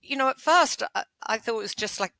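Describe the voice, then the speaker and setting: A British woman hosting a conversational interview, with a loud voice and a blunt, outspoken manner. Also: on the high side, animated, fairly quick, no echo. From United Kingdom. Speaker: podcast host.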